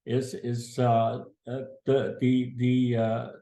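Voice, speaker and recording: Older man, gravelly and mellow, unhurried halting storyteller, roomy sound. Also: mid-range voice, monotone. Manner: slow, halting, many filler pauses. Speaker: storyteller grandfather. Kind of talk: casual family storytelling.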